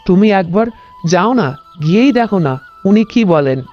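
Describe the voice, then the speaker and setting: A woman's voice: medium pitch, lively intonation, moderate pace, faint music behind, lightly acted. Woman acting a coaxing village woman, lo-fi sound. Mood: gentle coaxing. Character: gentle, helpful village woman, sympathetic friend of the sister. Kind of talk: cartoon morality tale dialogue.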